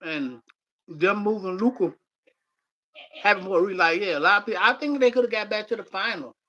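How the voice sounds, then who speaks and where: Man, sports chat guest, high-pitched, sharp bright tone, short confident replies. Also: a little fast, highs cut off.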